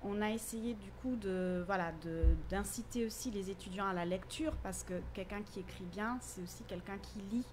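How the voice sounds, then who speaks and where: A woman's voice: mid-range voice, moderate pace, hardly any pauses. Woman teacher explaining stuff in an interview, articulate, bit of background noise. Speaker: university teacher.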